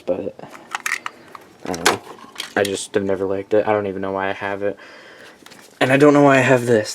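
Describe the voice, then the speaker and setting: A man giving a casual, unscripted showcase of his collection, rambling, correcting himself and speaking bluntly. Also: lowish voice. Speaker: collector presenting weather radios. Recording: clear background noise.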